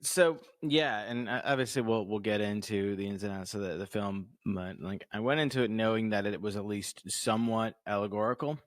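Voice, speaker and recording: A man's voice: lowish voice, a little fast, hardly any pauses, no echo. A man co-hosting a casual movie review podcast, explaining his thoughts with fillers and restarts. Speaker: podcast co-host.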